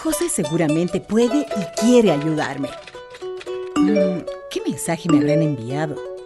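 A firm, concerned policewoman with a Bolivian accent in a radio drama. Her voice is lowish and lively, with clear music behind it. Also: slow pace. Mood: concerned, reflective. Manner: earnest, authoritative. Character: firm, concerned community policewoman, a protective corporal.

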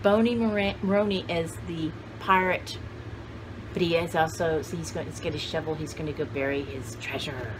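A woman's voice: sits low, fairly even intonation, background noise. An older woman in her sixties chatting casually and thinking aloud as she hosts a home show-and-tell video, recorded on a camera in a small room. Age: older, about 60s. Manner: unhurried, conversational, thinking aloud. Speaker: vintage haul video host. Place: small indoor room with cluttered shelves.

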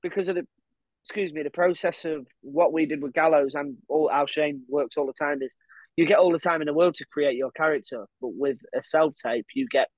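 A man's voice: a little high, fairly quick, dry recording, lo-fi sound. A man co-hosting a podcast, bantering and teasing with frequent swearing. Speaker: podcast co-host. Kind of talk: casual chat over video call. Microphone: headphones and webcam on a video call.

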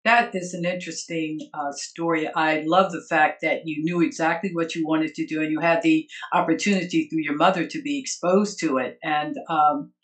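A woman's voice: low-pitched, steady tone, moderate pace, few pauses, low-quality recording. An older woman, a professor, hosting a formal interview show on a video call and speaking proudly of a former student. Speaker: host and professor.

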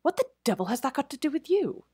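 A woman voicing a fierce, impatient gentleman guest at a hotel. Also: on the low side, very animated, brisk, slightly rough, dry recording, studio quality, heavily acted. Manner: fierce, indignant.

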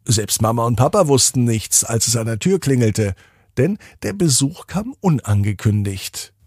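A man's voice: medium pitch, gravelly, a little mellow, husky, no echo, studio quality. A man hosting a children's podcast, narrating bedtime stories in simple, repeated phrases and speaking directly to young listeners. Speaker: podcast host and bedtime storyteller.

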